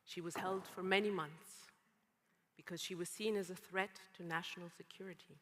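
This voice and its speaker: Woman speaker from Rwanda, slow poetic testimony, large hall with long reverb. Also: lowish voice, fairly even intonation, a bit bright, slight background noise. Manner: slow, measured, poetic delivery. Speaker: symposium speaker. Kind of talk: spoken-word personal testimony.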